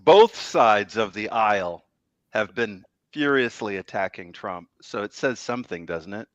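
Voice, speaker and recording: A man joining a casual online panel as a guest, speaking in a measured, deliberate way. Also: lowish voice, lively intonation, a bit bright, dry recording, muffled recording.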